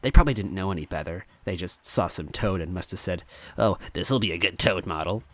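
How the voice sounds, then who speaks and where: A man voicing a grieving young toad girl, halting and emotional, in a story reading. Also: low voice, fairly bright tone, dry recording. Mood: bitter.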